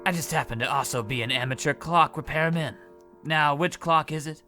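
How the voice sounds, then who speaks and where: An actor playing a blundering, self-important, freeloading cop in an old-time radio comedy, rambling in a folksy, oblivious way. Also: male voice, on the high side, moderate pace, pushed and bright, faint music behind. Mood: nosy.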